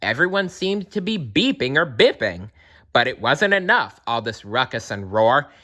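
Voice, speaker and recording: Guy reading a kids rhyme book aloud, bright voice, bouncy sing-song. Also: medium pitch, very animated, normal speed. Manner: playful, rhythmic rhyming read. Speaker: storybook reader.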